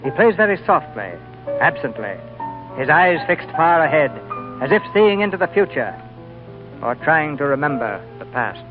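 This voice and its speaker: A man narrating in a measured, atmospheric way, his voice a bit high. Clear music plays under him, and the sound is thin and phone-like, as on an old radio broadcast. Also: sing-song. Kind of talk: scripted dramatic narration.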